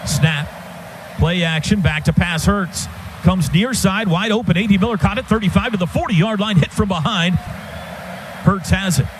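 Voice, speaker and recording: A man's voice: high-pitched, measured pace. A man doing live radio play-by-play of a football game in urgent, clipped present-tense phrases. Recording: noisy live stadium radio broadcast.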